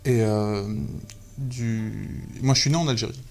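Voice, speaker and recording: A man in his thirties from Algeria, a photographer guest telling his story in a relaxed interview, hesitant with many fillers and restarts. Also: on the low side, unhurried, creaky. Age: 30s. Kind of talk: casual interview talk.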